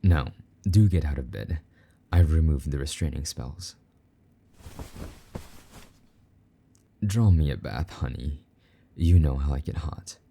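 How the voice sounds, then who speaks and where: A man's voice: very deep voice, normal speed, vocal fry, mellow, husky, heavily acted. A man playing a possessive, teasing demon lover in an intimate roleplay monologue, taunting and doting by turns. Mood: commanding, playful.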